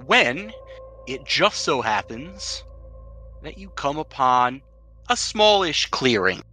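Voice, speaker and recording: A man's voice: mid-range voice, big pitch swings, slow, bright, forceful tone, faint music behind. A man acting as game master, narrating a tabletop role-playing game in a conversational, explanatory way.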